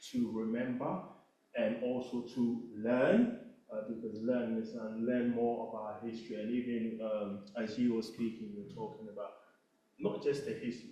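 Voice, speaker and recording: An earnest man speaks off the cuff at a panel, thinking aloud as he goes. His voice sits at an ordinary pitch. He is picked up from a distance in a very echoey hall. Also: mellow. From Ghana. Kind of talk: unscripted reflective talk at an event. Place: event hall.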